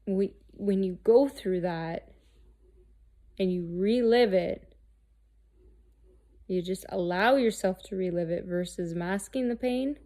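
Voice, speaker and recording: A woman in her thirties, talking candidly and haltingly about herself, restarting often and swearing freely. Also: medium pitch, dark tone. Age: 30s. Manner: halting, searching, with many restarts and fillers. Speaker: host. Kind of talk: candid personal monologue.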